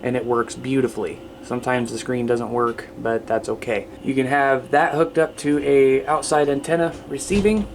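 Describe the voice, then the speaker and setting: A man's voice: mid-range voice, fairly even intonation, normal speed, hardly any pauses. Casual man explaining, noisy recording. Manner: informal, conversational, repeatedly addressing viewers as you guys. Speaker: video host. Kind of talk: casual instructional talk, demonstrating radio gear.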